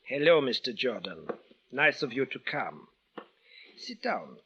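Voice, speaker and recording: A man's voice: medium pitch, sing-song, unhurried, bright, forceful tone, slight background noise. An actor playing a big, vengeful man from Damascus in an old radio drama, speaking formal, stilted English. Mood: menacingly polite. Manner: formal, stilted foreigner's phrasing without contractions. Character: tall, big, menacing, vengeful bandaged foreign man from Damascus. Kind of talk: 1940s-50s radio drama acting. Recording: dull old radio recording.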